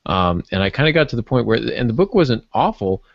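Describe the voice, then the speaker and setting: A man's voice: medium pitch, big pitch swings, brisk, gravelly, dry recording. A man chatting casually as a podcast panelist, feeling his way through his thoughts with restarts. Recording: muffled, dull lo-fi audio.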